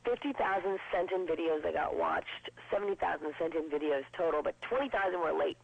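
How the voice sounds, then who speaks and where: A woman in her twenties with a low voice, chatting upbeat as a guest in an interview. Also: gravelly, slightly rough, no room sound. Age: 20s. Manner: chatty and upbeat, quick with jokes. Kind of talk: casual interview. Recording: dull lo-fi broadcast sound.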